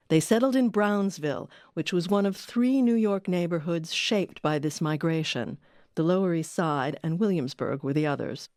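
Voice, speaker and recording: A woman's voice: fairly low pitch, darkish tone, no room sound, clean studio sound. A woman reading scripted documentary narration as the host of an audio series. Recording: music under the voice.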